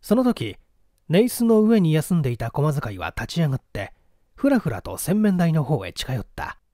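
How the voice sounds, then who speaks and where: A man's voice: on the high side, very animated, normal speed, warm tone, dry recording, clean studio sound. A man narrating a mystery story from a script as an audiobook reader.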